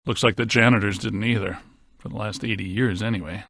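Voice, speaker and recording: Nerdy ghost hunter scientist man from a video game, deadpan, technical, super dry studio sound. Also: on the low side, a little fast, no echo. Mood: deadpan, analytical. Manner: deadpan, precise technical wording. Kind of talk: scripted video game voice acting.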